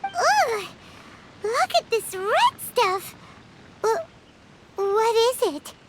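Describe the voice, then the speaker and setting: A woman voicing a tiny female fairy-like companion with a high, squeaky childlike voice, acted for a video game. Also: big pitch swings, very slow, heavy background noise, full character voice. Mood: curious. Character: Paimon, tiny floating female companion, jumpy. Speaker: companion character. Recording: game audio over loud music.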